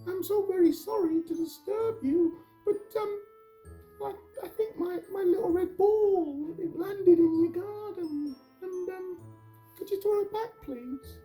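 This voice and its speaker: A woman's voice: very high voice, measured pace, mellow, faint music behind, voice pushed up. A woman voicing a small, polite child who talks hesitantly, with childish grammar. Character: polite little child behind a fence.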